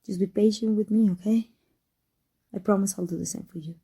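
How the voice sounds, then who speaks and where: A woman playing a guarded but tender villain in an intimate ASMR roleplay, speaking in a halting, emotional, breathy way. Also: medium pitch, fairly even intonation, warm tone. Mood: soft, affectionate. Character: tender, guarded villain woman confessing love to her rival. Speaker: voice actor.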